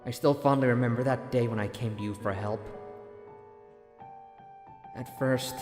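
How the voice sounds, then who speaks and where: Audio story role: male actor as earnest young former student, shy, grateful, mid-range voice, music clearly underneath. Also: mid-range voice, close to an everyday voice. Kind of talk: dramatic audio story reading.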